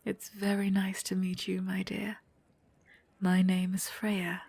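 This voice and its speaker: A proud Norse goddess with a passionate temperament voiced by a woman for an ASMR drama. She speaks slowly in a breathy voice, recorded very dry and close. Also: mid-range voice, completely flat delivery, darkish tone, no room sound, heavily acted. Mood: gracious. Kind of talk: ASMR roleplay audio drama.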